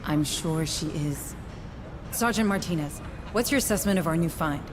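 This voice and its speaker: A woman playing a calm, practical and supportive spaceship officer in a video game cutscene. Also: deep voice, moderate pace, noisy background. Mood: composed. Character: composed, supportive female second-in-command aboard spaceship.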